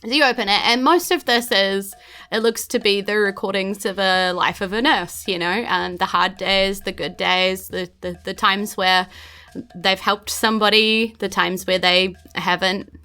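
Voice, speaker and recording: A woman's voice: medium pitch, steady tone, moderate pace, clear, resonant tone. Woman, New Zealand accent, game master, conversational narration, light music behind. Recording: faint music under the voice. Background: soft background music.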